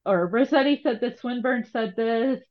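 A woman's voice: on the high side, moderate pace, lo-fi sound. A woman hosting a casual conversational interview, thinking aloud in a wordy, hesitant way. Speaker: podcast host.

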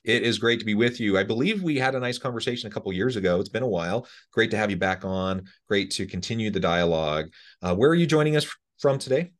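A man with a low-pitched voice speaks as a podcast host. His delivery is conversational, as in an interview with a guest. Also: lively intonation, fast talker.

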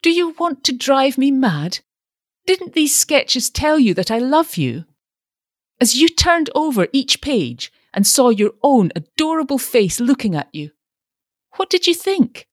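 A woman's voice: on the low side, big pitch swings, a little slow, no room sound, studio quality. A woman voicing an ardent, cocky young male suitor in a declamatory reading of a romantic story. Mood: impetuous ardour. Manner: impetuous, declamatory. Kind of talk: dramatised short story reading.

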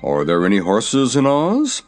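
Talking cab horse played by a man, plain-spoken, expressive, storybook animal voice. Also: medium pitch, unhurried, faint music behind. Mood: anxious, puzzled. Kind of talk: storybook character voice.

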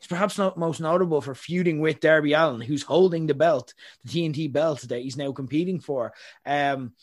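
A man's voice: on the high side, a little fast. A young adult man, hosting a podcast and giving rambling, opinionated takes. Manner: rambling, opinionated, frequent fillers. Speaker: podcast host. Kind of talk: casual chat.

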